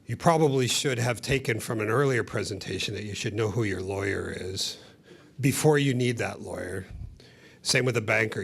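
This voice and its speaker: A man's voice: lowish voice, creaky, fairly bright tone, slight background noise. A man introducing the next speaker at an export seminar, talking conversationally with fillers and asides. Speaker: seminar moderator.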